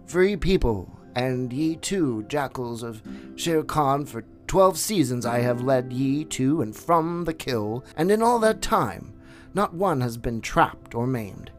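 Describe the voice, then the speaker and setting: A man's voice: mid-range voice, lively intonation, slow pace, pitched above the performer's own voice. A man voicing an old, weary male wolf who leads the pack, speaking with solemn dignity over faint music.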